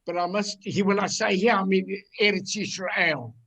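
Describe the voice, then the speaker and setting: A man in his eighties with a South African accent, reminiscing casually in a halting way and repeating himself. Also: high-pitched, sharp tone. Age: 80s. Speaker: audience member on a virtual tour.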